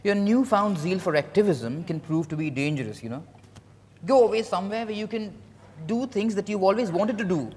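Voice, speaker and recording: Male actor as Indian writer, stage drama reading, composed and blunt, animated pitch, reverberant ballroom. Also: a little fast. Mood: critical, stern. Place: large hotel ballroom stage. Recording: echoey hall, stage mic from afar.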